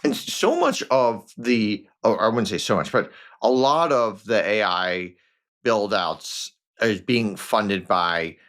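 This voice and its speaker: A man with a fairly low voice hosts a podcast interview. He talks casually and haltingly, restarting and rewording his questions as he thinks them through. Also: lively intonation, fairly bright tone. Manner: halting, rephrases questions mid-sentence.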